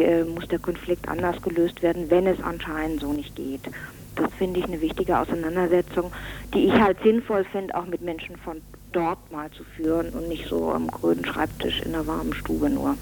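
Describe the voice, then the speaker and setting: A woman's voice: lowish voice, darkish tone. A woman speaking spontaneously as an interviewed event organiser, earnest and opinionated, searching for words as she goes. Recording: faintly noisy 1990s radio recording.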